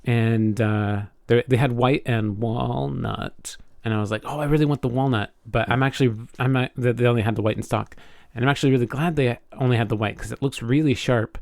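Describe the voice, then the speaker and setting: A man with a mid-pitched voice chats casually as a podcast co-host. He is enthusiastic but halting, often repeating and rephrasing words as he goes. The sound is dry, with no room echo. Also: steady tone, creaky, darkish tone. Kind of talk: casual chat, telling a personal anecdote.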